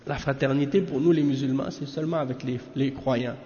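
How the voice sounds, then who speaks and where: A man's voice: medium pitch, steady tone, fairly quick, warm tone. A man giving a religious lesson in a casual, familiar way, often checking in with his listeners. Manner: casual, familiar talk with frequent "hein?" asides. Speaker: religious teacher. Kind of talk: lesson explaining a commentary. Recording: echoey room, dull lo-fi sound.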